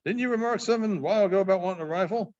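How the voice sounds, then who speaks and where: A man voicing a kindly but commanding frontiersman in a storybook, plain-spoken and gruff in manner. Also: high-pitched, rapid-fire, a little mellow, lightly acted. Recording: dull, muffled lo-fi sound.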